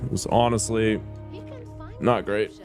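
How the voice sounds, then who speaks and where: A man's voice: lowish voice, fairly even intonation, slow, faint music behind. Joking, crude man streaming a game. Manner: joking, crude, self-mocking. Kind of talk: casual gameplay commentary to chat.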